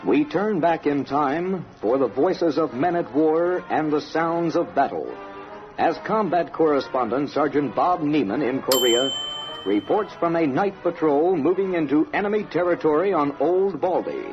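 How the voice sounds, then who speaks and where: A man's voice: on the high side, expressive, moderate pace. An American man narrating a scripted 1960s radio documentary in a solemn, dramatic, declarative manner. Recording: dull vintage radio broadcast, faint music.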